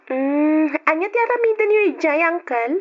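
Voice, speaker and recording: Woman as a high-voiced, bubbly young student; lo-fi radio. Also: expressive, normal speed. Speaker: student character. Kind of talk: radio drama acting. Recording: dull lo-fi radio recording.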